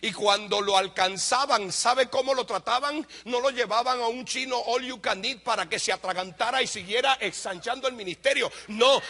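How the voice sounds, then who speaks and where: A man with a Dominican accent preaching a lively sermon to a congregation. He asks questions and answers them himself, and he shouts some points before dropping to a low aside. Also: normal speed, hardly any pauses. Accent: Dominican Spanish. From Dominican Republic. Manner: animated, shouts for emphasis, then drops to a low aside. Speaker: pastor.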